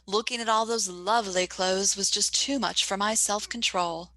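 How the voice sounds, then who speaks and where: A woman's voice: mid-range voice, fairly even intonation, moderate pace, sharp tone, no room sound, natural voice. A woman playing a chatty, gushing Hollywood reporter delivering 1940s-style radio advertising patter. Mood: gushing, friendly.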